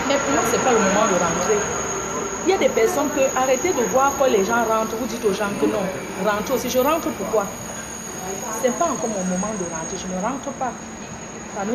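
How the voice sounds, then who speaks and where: A woman from Cameroon with a Cameroonian French accent, lecturing her followers emphatically and repetitively in a live video from a train station. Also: a little high. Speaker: social media personality. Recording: noisy station ambience behind her.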